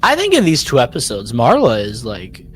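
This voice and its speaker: A man's voice: mid-range voice, wildly swooping pitch, moderate pace, faint music behind. A man co-hosting a podcast in casual discussion, thinking aloud and often checking his points with a questioning tag. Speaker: podcast co-host. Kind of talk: casual discussion recapping a drama episode.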